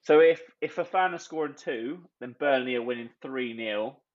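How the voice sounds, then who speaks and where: A man guesting on a podcast, talking in excitable, self-mocking bursts. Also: medium pitch, moderate pace, dull audio. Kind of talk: casual chat.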